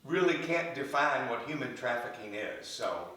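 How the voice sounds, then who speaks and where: An older man, a bishop, hosts an interview in a measured way and clears his throat often. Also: mid-range voice, expressive, bright, forceful tone. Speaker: bishop hosting a diocesan interview show. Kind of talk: interview hosting. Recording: echoey voice from a distant mic.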